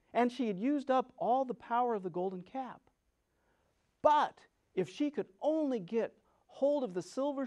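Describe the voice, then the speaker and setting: A man giving a dramatic storybook reading as a storyteller, with theatrical, expressive emphasis. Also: sits high.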